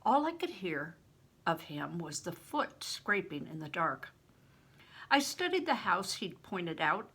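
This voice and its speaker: An older woman with a breathy voice, reading a novel aloud and giving the characters voices of their own. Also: lowish voice, pushed and bright. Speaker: reader.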